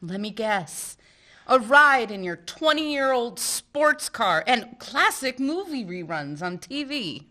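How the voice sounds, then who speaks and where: A woman's voice: on the high side, sing-song, exaggerated voice. A woman playing a fiery marina owner. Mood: bantering. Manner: fiery, quick to yell. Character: feisty woman who owns a failing marina. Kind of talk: stage-play acting.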